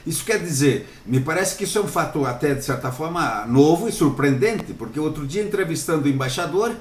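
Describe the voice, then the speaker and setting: A man's voice: a little high, lively intonation, brisk, echoey room. A man interviewing a lawyer, framing long questions hesitantly and restarting words.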